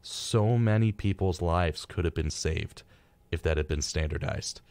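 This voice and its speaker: A man's voice: deep voice, measured pace, vocal fry, darkish tone. A young man hosting a radio show, chatting casually and reading out facts in an informal, wry way. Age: young adult.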